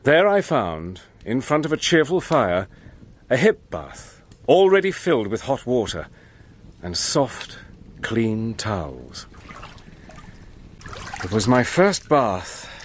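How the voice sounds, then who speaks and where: An actor playing a resourceful British agent who narrates his own story in a calm, wry first-person voice. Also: medium pitch, slow pace, long pauses. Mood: reflective. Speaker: narrator and hero.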